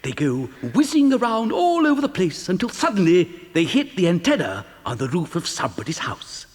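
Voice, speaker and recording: A man playing an eccentric, excitable chocolate factory owner and inventor in a children's audio drama, theatrical, with stammering, hesitant repetitions. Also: high-pitched, fairly quick. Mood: showy, explaining. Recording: long hall-like reverb.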